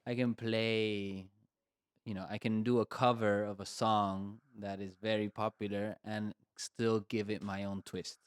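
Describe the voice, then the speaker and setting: A man's voice: fairly low pitch, little pitch movement, measured pace, smooth, no echo, clean studio sound. A man from Argentina co-hosting a casual podcast, thinking aloud in a rambling way and filling his speech with "like" and "you know". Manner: thoughtful and rambling, heavy use of "like" and "you know". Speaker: podcast co-host and composer. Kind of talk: casual conversation.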